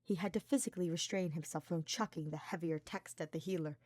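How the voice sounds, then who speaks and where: A woman's voice: lowish voice, fast talker, darkish tone, no echo, studio quality. A woman reading a scripted story aloud as its narrator. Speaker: fan fiction podfic narrator.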